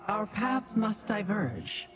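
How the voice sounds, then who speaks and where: A woman's voice: mid-range voice, expressive, slow pace. An actress playing a proud, cold female demon in a video game, speaking in a haughty, condescending, formal way. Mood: commanding. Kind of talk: video game character dialogue. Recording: music behind the voice.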